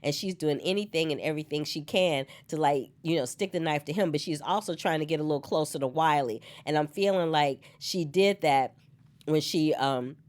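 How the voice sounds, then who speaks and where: A woman hosting an online soap opera recap on her own, slightly hoarse from a cold, animated and chatty and laughing often. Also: sits low, moderate pace. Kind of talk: solo casual recap chat.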